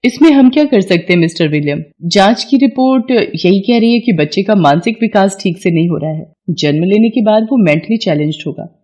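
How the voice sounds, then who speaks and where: A woman's voice: medium pitch, expressive, brisk, mellow, lightly acted. A woman voicing a matter-of-fact, firm female doctor in a dramatised moral story. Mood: grave, firm.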